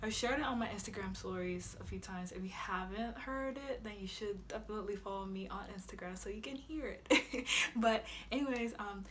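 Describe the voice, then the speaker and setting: A woman's voice: medium pitch, normal speed, fairly bright tone, slight background noise. A young woman from Honduras vlogging casually to camera in her room, chatty, with many fillers and frequent laughs. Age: young adult. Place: bedroom with a flag behind her.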